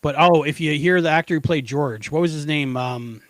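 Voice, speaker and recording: A middle-aged man co-hosting a podcast, chatting casually about pop culture in an animated, slangy, emphatic way. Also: a little high, fairly quick. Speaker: podcast co-host.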